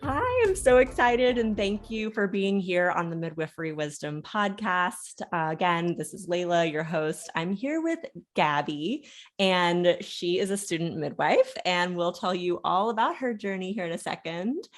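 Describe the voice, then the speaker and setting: A woman's voice: fairly low pitch, expressive, measured pace. A woman hosting a podcast, introducing herself and asking a guest questions in an upbeat, welcoming way. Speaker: podcast host.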